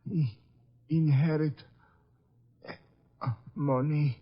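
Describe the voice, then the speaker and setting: A slow, husky male voice on a dull old radio recording, as a dying man. He creaks out broken, halting phrases in the manner of a mystery serial. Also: a little high, steady tone. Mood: weak, gasping. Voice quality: gravelly, husky. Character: dying shot man, gasping out clues. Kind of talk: 1950s radio detective drama acting.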